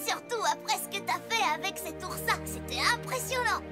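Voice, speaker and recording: A brash young female pony from a children's cartoon, with an extremely high voice. The character is blunt and excitable, exclaiming freely. Music is clearly heard underneath. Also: brisk. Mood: amazed. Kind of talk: French-dubbed children's cartoon acting. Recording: music behind the voice.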